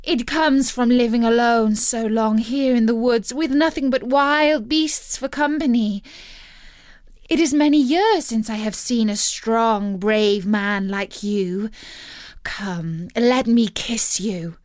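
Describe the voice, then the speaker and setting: A woman's voice: a little high, slow, no echo, studio quality. A woman voicing a beautiful, seductive witch from a storybook, wheedling and commanding in a theatrical way. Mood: pleading, flattering. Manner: theatrical, coaxing. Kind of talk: storybook character voice.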